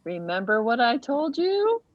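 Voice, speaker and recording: A man voicing a prim, patient mother frog in a storybook, measured and gently admonishing. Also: extremely high pitch, sing-song, no echo, highs cut off, voice pushed up, heavily acted. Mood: gently scolding.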